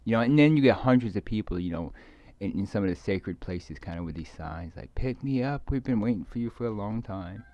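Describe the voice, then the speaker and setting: A man giving a rambling, casual monologue to viewers, halting and repeating his words as he trails off. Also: on the low side, animated, warm tone, no echo, lo-fi sound. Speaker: video creator speaking to viewers.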